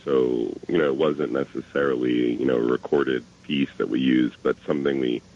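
Male interview guest, extremely low voice, conversational and a bit hesitant, lo-fi broadcast sound. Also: steady tone, moderate pace, darkish tone. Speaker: interview guest, documentary filmmaker.

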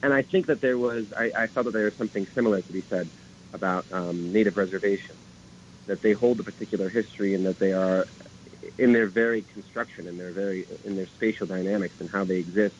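Male call-in guest, low voice, halting reflective explanations, muffled connection with faint noise. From grew up between Lebanon and the United States. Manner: halting, repeats phrases while explaining. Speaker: interview guest, documentary filmmaker. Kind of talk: reflective interview answers by call-in. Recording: dull, noisy remote call-in line.

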